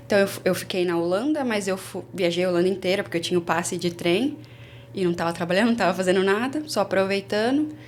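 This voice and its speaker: A Brazilian woman in her twenties, a former au pair, telling her story in a casual interview with lively restarts. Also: medium pitch, quick pace, slight background noise. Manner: chatty, animated, many restarts.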